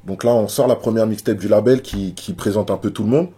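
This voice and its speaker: A man's voice: on the low side, brisk, dark tone. A rapper being interviewed, talking casually and often repeating words while he searches for them. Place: media office.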